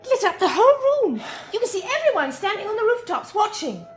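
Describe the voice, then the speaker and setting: A chirpy British nurse in an old radio drama. Her voice is high and swoops up and down as she gushes and coaxes, with music behind her. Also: big pitch swings, brisk. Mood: excited, gushing. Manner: gushing, bright, coaxing. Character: chirpy, excitable female hospital nurse, fussing over a patient.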